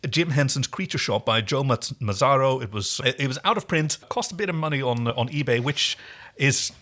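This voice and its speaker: A man's voice: mid-range voice, animated, moderate pace, a bit bright. A man co-hosting a podcast, chatting casually and with geeky enthusiasm as he recaps a TV episode. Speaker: podcast co-host.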